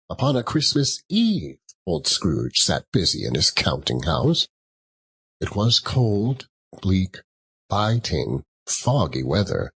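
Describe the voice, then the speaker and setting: A man narrating an audiobook in a theatrical, dramatic storytelling style, deliberately lingering over his words. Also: mid-range voice, breathy edge.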